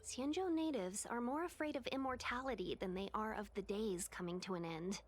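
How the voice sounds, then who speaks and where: An actress playing an ominous, philosophical woman in a fantasy game, speaking in a measured, formal way. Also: medium pitch, a little hiss or hum, lightly acted. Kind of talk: scripted video game voice acting.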